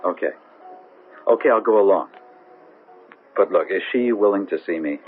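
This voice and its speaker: An actor playing a skeptical academic scientist in a 1970s radio drama, doubting and challenging in manner. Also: male voice, sits low, moderate pace, faint music behind, natural voice. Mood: incredulous. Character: skeptical, smooth-fronted academic scientist in love. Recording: tinny, noisy 1970s radio broadcast.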